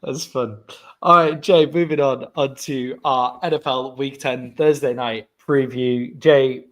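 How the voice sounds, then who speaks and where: A man's voice: medium pitch, fairly even intonation, measured pace, a bit bright. A man with an English accent, co-hosting a podcast and talking football in a loose, chatty way. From England. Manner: chatty, self-correcting, asks co-host questions. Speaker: podcast co-host. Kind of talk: casual sports chat.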